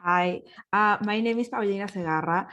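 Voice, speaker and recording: A woman from Mexico with a Mexican Spanish accent, a research professor introducing herself warmly with slight hesitation. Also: mid-range voice, normal speed, muffled recording. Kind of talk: self-introduction on a webinar.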